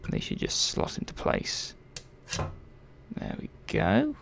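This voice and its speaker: A man playing a curious, gently chatty robot repair technician in a soft-spoken ASMR roleplay, murmuring and thinking aloud in an unhurried, hesitant way. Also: close to an everyday voice. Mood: gently absorbed. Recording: faint background noise.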